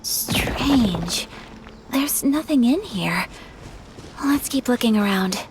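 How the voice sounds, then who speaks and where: High-voiced, refined young noblewoman. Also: expressive, noisy background. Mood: puzzled. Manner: formal, polite, measured. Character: refined young noblewoman, gentle, courteous, poised. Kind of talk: video game voice acting. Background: music.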